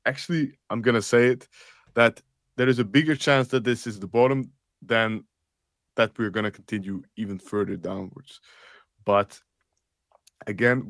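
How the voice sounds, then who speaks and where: A man's voice: fairly low pitch, no room sound, studio quality. A man with an Austrian accent, hosting a live crypto stream and talking through charts with frequent fillers. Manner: rambling, thinks aloud with fillers. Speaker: crypto show host.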